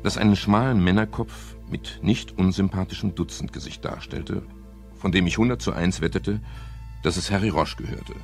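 Low, gravelly, wry private detective. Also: male voice. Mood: sober narrating. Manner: dry, ironic, measured. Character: wry, world-weary male private detective, polite and unhurried. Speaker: lead actor and first-person narrator. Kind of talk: 1970s German radio crime drama acting. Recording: 1970s radio play with music.

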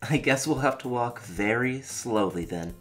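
Teenage boy acted by a woman, very low pitch, lively intonation, playful, earnest, dorky, comic dub. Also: moderate pace, faint music behind. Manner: teasing, earnest. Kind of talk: animated-style comic dub acting.

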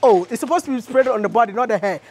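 A young man from Ghana, a vlogger hosting an interview, talking in an excitable, playful way with a Ghanaian English accent, on a lapel mic. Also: very high-pitched, very fast, background noise. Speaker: vlogger host. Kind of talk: energetic travel vlog interview. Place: industrial yard and factory, indoors and out.

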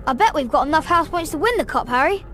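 An eager young wizard boy in a video game. The voice is high and youthful, urging a friend along in a chummy way over a clear music bed. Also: lively intonation, fast, pushed and bright, full character voice. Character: eager, excitable schoolboy wizard. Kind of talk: video game character dialogue.

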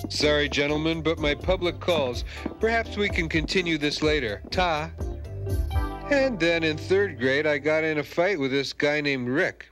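A man performs a wisecracking ghost hunter from a cartoon. His voice sits a little high, with glib, sardonic, self-important delivery over music. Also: unhurried, lightly acted. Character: wisecracking, cocky, laid-back male ghost hunter. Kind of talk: cartoon voice acting. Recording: music behind the voice.

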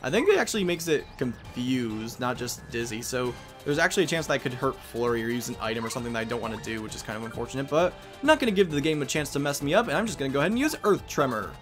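A man's voice: mid-range voice, expressive, rapid-fire, hardly any pauses. A man giving casual gameplay commentary as the host of a let's play. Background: game music.

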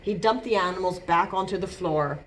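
A woman in her thirties, a children's librarian, reading a picture book aloud and leading songs for young children in a gentle, sing-song, expressive way, filmed on a webcam in a small room. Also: fairly low pitch, normal speed, slight background noise, rough recording. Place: small room with bookshelves, indoors. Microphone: webcam at close range.

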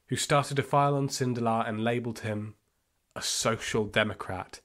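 An actor playing a reflective football fan, narrating his memories of the Nazi era in an audio play in a measured, wry way. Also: mid-range voice, fairly even intonation, fairly bright tone, lightly acted. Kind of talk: first-person dramatic storytelling in an audio play.

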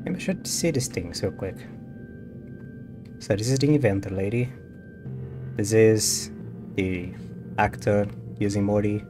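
A man's voice: lowish voice, unhurried, pauses often. A man giving casual gameplay commentary as a streamer, musing to himself and reading text aloud. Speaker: game streamer. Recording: music behind the voice.

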